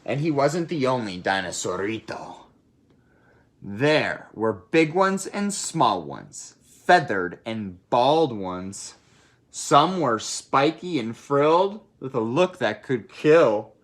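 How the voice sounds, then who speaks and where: A person reading a children's picture book aloud in an animated way, with expressive asides to young listeners. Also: mid-range voice, takes it slowly. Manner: expressive, asks listeners questions and comments in asides. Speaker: storybook reader.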